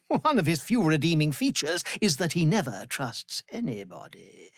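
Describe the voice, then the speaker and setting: An actor playing a cruel, imperious aristocratic vampire master in a video game, speaking with theatrical contempt and menace, savoring every word. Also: male voice, on the high side, wildly swooping pitch, moderate pace, no room sound, studio quality, exaggerated voice. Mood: taunting, menacing. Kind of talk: dramatic video game villain acting.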